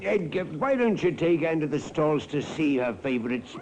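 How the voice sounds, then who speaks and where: Animated, genial elderly husband. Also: male voice, fairly high pitch, normal speed. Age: older. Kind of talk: 1950s radio drama acting. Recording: muffled, noisy old radio recording.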